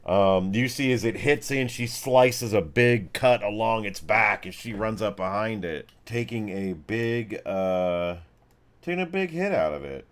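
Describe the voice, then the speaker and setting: A man's voice: lowish voice, lively intonation, unhurried. A man acting as game master, improvising descriptive narration of a tabletop game mixed with informal, sweary chat.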